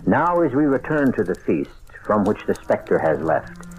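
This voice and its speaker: A man giving grave, measured, literary narration in the style of a classic radio drama. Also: on the low side, big pitch swings, darkish tone, dull audio, slight background noise.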